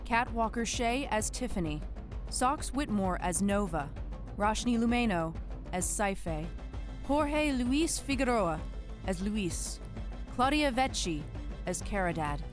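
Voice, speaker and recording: A woman announces for a podcast in a mid-pitched voice. She reads scripted credits and thanks. Faint music plays underneath.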